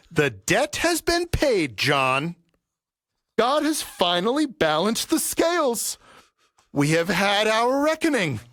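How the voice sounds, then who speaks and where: A bitter vengeful barber dad, slow menace, pitch swings a lot, really dry studio sound. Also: male voice, fairly bright tone, no room sound, full character voice. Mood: grim satisfaction. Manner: menacing, deliberate, theatrical. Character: vengeful grieving father, a barber settling an old debt. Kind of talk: audio play acting.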